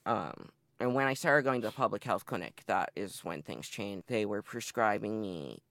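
A man's voice: lowish voice, expressive, normal speed, gravelly, a bit bright, no room sound, studio quality. A man in his twenties from Massachusetts, candidly telling his life story in an interview. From northeastern Massachusetts. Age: 20s. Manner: candid, matter-of-fact storytelling. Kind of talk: oral history interview.

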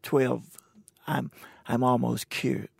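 Gravelly, husky man in his sixties. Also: medium pitch, fairly even intonation, no echo. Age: 60s. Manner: plain, halting, unhurried storytelling. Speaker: interviewee. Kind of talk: recorded personal conversation. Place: indoors at a cultural center.

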